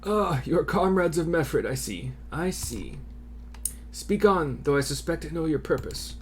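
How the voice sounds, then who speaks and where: A man's voice: fairly high pitch, animated, measured pace, slight background noise. A man voicing a wary older male village elder in a fantasy game, terse and guarded. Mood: resigned.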